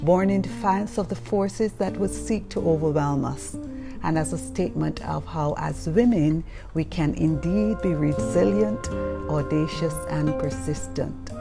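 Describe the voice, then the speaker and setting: Host voice-over: low, gravelly woman, formal measured delivery over background music. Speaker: show host. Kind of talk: scripted show introduction.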